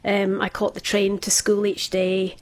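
A woman's voice: mid-range voice, monotone, measured pace, no echo. A Scottish woman in her fifties, a radio guest telling a personal story in casual chat, hesitant, with frequent small laughs. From Scotland.

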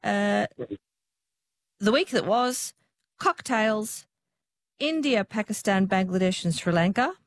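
A woman hosting a live radio quiz in a studio, chatty and quick to laugh. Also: medium pitch, lively intonation, no echo.

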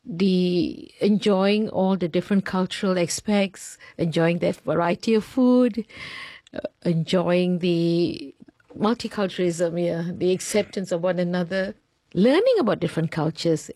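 Measured Singaporean woman, low voice. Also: dark tone, no room sound. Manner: measured, list-like phrasing with pauses. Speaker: interviewee, teacher. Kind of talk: reflective interview answers.

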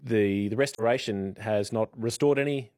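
A man's voice: lowish voice, moderate pace, a little mellow, dry recording. A man presenting news on radio, telling a light story playfully with many ums. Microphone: studio microphone.

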